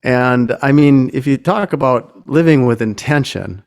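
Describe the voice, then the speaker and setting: Halting, self-effacing man. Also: mid-range voice, vocal fry, darkish tone. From United States. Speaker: singer-songwriter. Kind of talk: casual talk between songs at a performance.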